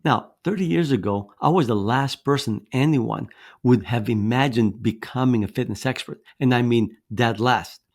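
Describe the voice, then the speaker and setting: A man's voice: medium pitch, moderate pace, a little mellow. A man in his fifties, raised in Greece, giving a persuasive motivational presentation on fitness with a storytelling manner. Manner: persuasive, storytelling, with occasional restarts.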